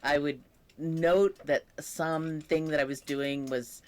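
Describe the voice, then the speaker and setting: Young man, unhurried and reflective, voice that sometimes croaks, casual vlog talk. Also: on the high side, fairly even intonation. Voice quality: occasionally croaky voice. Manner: slow, thoughtful, with many pauses and fillers. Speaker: vlogger. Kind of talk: casual personal update.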